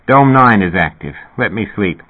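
A man's voice: on the low side, expressive, normal speed. A man voicing an irritable, reluctant male loner in an audiobook, weary and grumbling. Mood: weary, protesting. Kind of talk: audiobook character dialogue. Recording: narrow, phone-like band.

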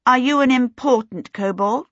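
A woman voicing an eager, earnest young heroine in a storybook, plain-spoken and quietly exasperated. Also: high-pitched, normal speed, dry recording, dull audio, voice pushed up.

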